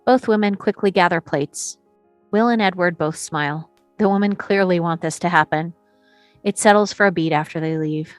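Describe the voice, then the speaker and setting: Female narrator for scripted action lines, lower register, recorded without room echo. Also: moderate pace, soft background music. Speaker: narrator reading stage directions.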